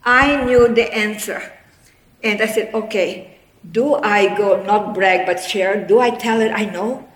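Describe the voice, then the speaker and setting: A woman in her eighties whose first language is German, telling her life story to an audience in a rambling, lively way with many restarts. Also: medium pitch, unhurried, reverberant, low-quality recording. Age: 80s. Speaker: guest speaker.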